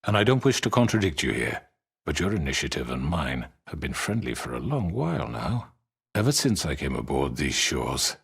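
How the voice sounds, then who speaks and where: An actor playing a suave, menacing crime boss in a dramatic video game, husky and falsely courteous, with formal, unctuous phrasing. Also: male voice, very deep voice, big pitch swings, exaggerated voice. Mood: smooth, placating. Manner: unctuous, formal, measured politeness.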